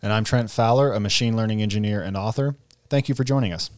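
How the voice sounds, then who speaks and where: Male podcast host, low-pitched, conversational and dry. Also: fast talker, darkish tone, clean tone, no room sound. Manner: conversational, some restarts when asking questions. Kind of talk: podcast hosting.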